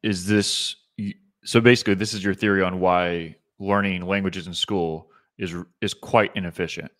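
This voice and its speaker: A man hosting an interview, asking measured, probing questions. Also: sits low, steady tone, a bit bright. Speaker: podcast host.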